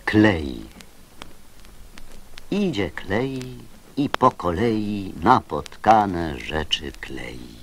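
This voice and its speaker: A husky-voiced man reciting children's verse expressively for radio. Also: low voice, very animated, very slow, long pauses, noisy background.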